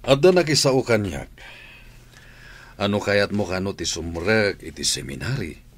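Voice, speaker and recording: A man's voice: fairly low pitch, animated, unhurried, husky, full character voice. A man playing an authoritative school principal in a radio drama, speaking with an Ilocano Filipino accent. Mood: serious, inviting.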